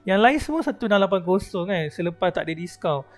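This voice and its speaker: A man's voice: high-pitched, expressive, rapid-fire. A man with a Malaysian accent chatting casually as a game streamer, joking and teasing, mixing in English. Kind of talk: casual livestream chat and commentary. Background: game music.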